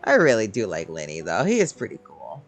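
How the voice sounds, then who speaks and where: A woman's voice: extremely low pitch, huge pitch swings, fairly quick, faint music behind. A woman giving casual commentary while playing a video game. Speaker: gamer reacting to a story quest. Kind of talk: casual commentary over gameplay.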